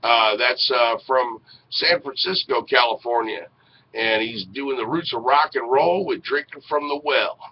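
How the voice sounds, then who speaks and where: A man's voice: medium pitch, measured pace. Middle-aged American man, dull noisy recording. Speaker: radio and web show host. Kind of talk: casual live show talk, promoting programs. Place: small indoor room with posters. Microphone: headset. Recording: dull, noisy webcam audio.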